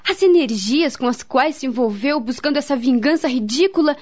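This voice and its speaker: Actress doing solemn devout brazilian woman, dramatic radio soap storytelling, fairly high voice, slightly muffled sound. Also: lively intonation, normal speed, darkish tone. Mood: solemn, advising. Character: grave, devout woman friend, counselling and recalling family tragedy. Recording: dull, lo-fi radio drama recording.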